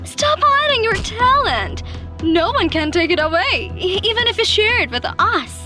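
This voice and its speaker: A woman voicing a boastful, dismissive, self-absorbed teenage girl in an animated story, turning whiny when playing helpless. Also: extremely high pitch, moderate pace, soft background music, pitched above the performer's own voice, heavily acted. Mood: smug, boastful. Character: bratty, self-absorbed teenage pick-me girl, feigning sweetness.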